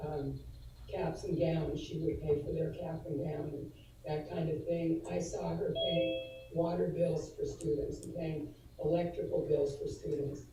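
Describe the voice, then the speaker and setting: A woman speaks on a video call, her voice very dark and mellow and a bit hoarse from allergies. She talks in an earnest, halting way, with many fillers and restarts, as she pays tribute to a colleague. She sits back from the mic in a strongly echoing room with faint background ambience. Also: lowish voice, recorded from a distance, a little hiss or hum. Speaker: adult education administrator presenting to school board. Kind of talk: formal tribute presentation at a virtual board meeting.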